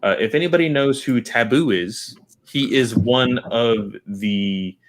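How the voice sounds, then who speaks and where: A man's voice: mid-range voice, a little slow. Podcast co-host, man, 20s, mid-range voice, blunt and dismissive. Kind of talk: casual chat.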